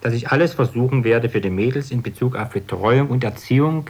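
Male choir director from Dresden, rough husky voice, solemn and formal, prepared statement. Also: mid-range voice, fairly quick, darkish tone. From Dresden, East Germany.

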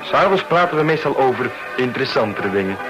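A man's voice: mid-range voice, expressive, brisk, music in the background, close to an everyday voice, rough recording. A man playing an easygoing, chatty businessman in radio drama dialogue. His voice sits in a middle register. He talks affably and conversationally. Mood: affable. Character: easygoing, chatty New York businessman on holiday.

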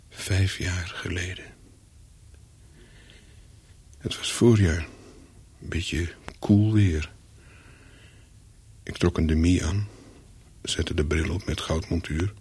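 An actor playing a wary, secretive English hotel guest in a radio play, telling his story in short, measured sentences with a husky voice. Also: darkish tone, full character voice. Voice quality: low, husky. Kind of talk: radio play, first-person narration with dialogue.

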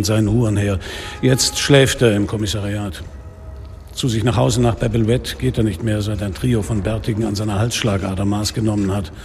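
An actor playing a weary, sardonic middle-aged police inspector in a noir radio drama, speaking drily and broodingly. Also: male voice, lowish voice, gravelly, darkish tone, slight background noise. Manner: dry, sarcastic, brooding. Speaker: actor, first-person narrator in a radio play. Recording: studio radio drama with music underneath.

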